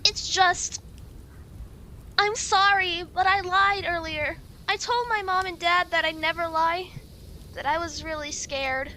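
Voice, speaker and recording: A woman's voice: very high voice, unhurried, pushed and bright, slight background noise, full character voice. A woman playing a plaintive, childlike fourteen-year-old girl, timid yet defiant, in a puppet-show murder mystery. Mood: scared, apologetic.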